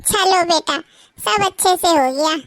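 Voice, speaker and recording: A performer voicing a bossy middle-aged mother-in-law who scolds and gives orders, in an animated family cartoon. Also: very high-pitched, measured pace, no room sound, full character voice. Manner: scolding, commanding. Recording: cartoon with music underneath.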